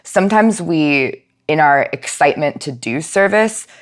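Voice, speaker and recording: A woman speaking as a podcast guest, giving thoughtful conversational answers and pausing mid-thought as she reflects. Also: deep voice, animated. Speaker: podcast guest, nonprofit outreach and education manager.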